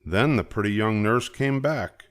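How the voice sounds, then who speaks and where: Deep-voiced older man reading a storybook. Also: expressive, a little slow. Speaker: storybook reader. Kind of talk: children's story read-aloud narration.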